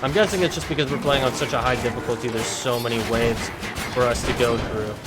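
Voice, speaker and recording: A man casually commentating his video game play for viewers, chatty and slightly hoarse from talking all day. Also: medium pitch, steady tone, fast. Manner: chatty, addresses viewers as guys, frequent fillers. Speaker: gaming video creator. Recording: game music loud behind voice. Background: game music and combat sounds.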